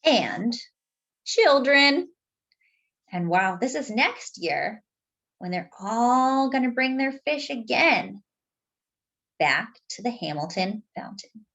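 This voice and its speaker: Female children's story reader, high voice, highly animated melody, deliberate phrasing with conversational asides. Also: dull audio. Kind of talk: expressive picture book read-aloud.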